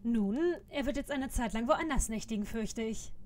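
Actress as young female volunteer, slightly high voice, polite, earnest, proper, audio play. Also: moderate pace, a little mellow, slight background noise, close to an everyday voice. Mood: polite, eager. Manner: courteous, proper. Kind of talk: parody audio drama acting.

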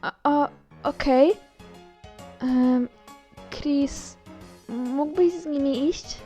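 A woman's voice: high-pitched, extremely slow, mellow. A woman voicing a timid female monster schoolteacher in a cartoon fan dub, hesitant and stammering. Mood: nervous. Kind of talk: fan-dub comic acting. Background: music.

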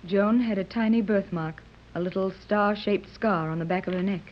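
An actress playing a kind, gracious, wealthy widow in a 1930s radio drama, speaking gently and politely. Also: female voice, mid-range voice, normal speed, slight background noise, natural voice. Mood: wistful. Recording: dull old 1930s radio recording.

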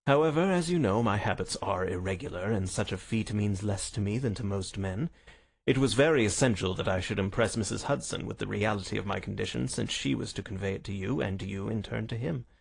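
Storybook detective, played by a male reader: self-assured, wry, theatrical, precise diction, husky and creaky. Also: on the low side, brisk. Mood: self-satisfied, explaining. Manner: precise, lofty, courteous. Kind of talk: dramatised audiobook reading.

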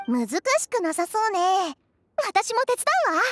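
A woman's voice: very high-pitched, big pitch swings, a little slow, soft background music, heavily acted. A woman playing an eager, dramatic young newspaper reporter in a Japanese video game. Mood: willing.

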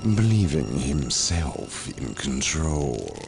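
A man voicing an arrogant, menacing Chaos sorcerer lord in a video game, gravelly, slow and theatrical in his contempt. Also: rumbling low, big pitch swings, heavy background noise, exaggerated voice. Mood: gloating, scornful. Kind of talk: video game villain acting. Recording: music bed under the voice. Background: loud dramatic music.

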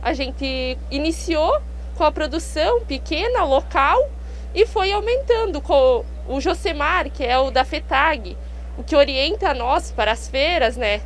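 A woman's voice: sits high, expressive, measured pace. A young woman with a southern Brazilian accent answering conversationally as an interview guest, slightly muffled by a face mask. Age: young adult. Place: outdoors in a park at a fair. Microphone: handheld microphone. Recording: clear background noise and hum outdoors.

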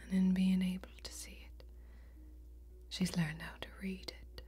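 A young woman playing a wry, hospitable fantasy warrior who keeps a tavern, speaking in hushed, drawn-out ASMR role-play. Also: lowish voice, flat delivery, breathy edge. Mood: hushed, mysterious. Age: young adult. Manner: hushed, slow, drawn-out storytelling. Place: small indoor set, close up. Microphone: close microphone.

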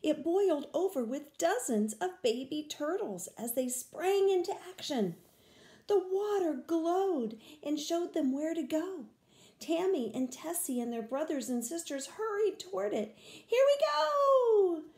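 Storyteller, older woman, slow expressive children's reading, slightly high mellow voice. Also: roomy sound. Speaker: read-aloud storyteller. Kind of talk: children's picture-book reading. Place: small room at home, indoors.

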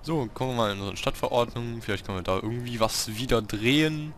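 A man giving casual gameplay commentary, talking to himself in short, halting remarks. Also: medium pitch, a little hiss or hum. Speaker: let's player hosting a city-building game series.

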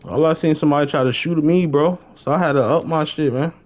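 A man's voice: on the high side, fast, a little mellow. A young American man chatting casually and slangily as he livestreams a game, often repeating his phrases. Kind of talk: casual gaming stream chatter. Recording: dull lo-fi game-stream mic.

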